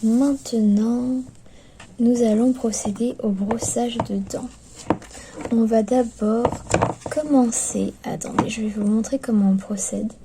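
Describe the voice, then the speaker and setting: A woman speaks softly in an ASMR roleplay as clinic staff, often slipping into a whisper, with gentle, hesitant, attentive patter. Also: on the high side, steady tone, takes it slowly. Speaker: ASMR performer playing skeleton clinic staff. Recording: lo-fi recording with background noise.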